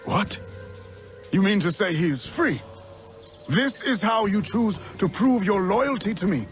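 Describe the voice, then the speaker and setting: An actor playing a stern, domineering older assassin mentor in a video game, with a formal, commanding manner. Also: male voice, high-pitched, lively intonation, a little slow, soft background music. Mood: furious.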